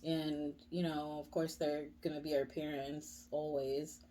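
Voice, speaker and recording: A woman's voice: sits low, little pitch movement, measured pace, warm tone, a little hiss or hum, rough recording. A young woman vlogger chatting casually, full of "like" and "you know". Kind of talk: casual chat.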